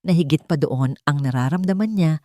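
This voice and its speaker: A woman's voice: sits low, fairly quick, dark tone, dry recording, clean studio sound. A woman with a Filipino accent narrating a romantic drama in a scripted, dramatic storytelling style. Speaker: narrator of love stories.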